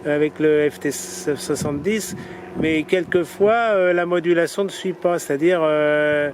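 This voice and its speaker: A man's voice: fairly high pitch, unhurried. A man chatting casually as an amateur radio operator, hesitant and repeating himself, often ending phrases with little tags. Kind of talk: casual ham radio chat. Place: outdoors, by road or vehicle. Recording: noisy outdoor recording with traffic.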